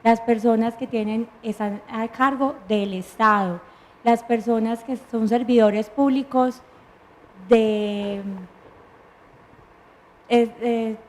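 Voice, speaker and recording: A woman's voice: on the high side, steady tone, takes it slowly, pauses often, darkish tone. A woman with a Colombian accent, a lawyer explaining pensions patiently and point by point on a studio talk show. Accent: Colombian Spanish. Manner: patient, methodical, lists points and answers her own questions.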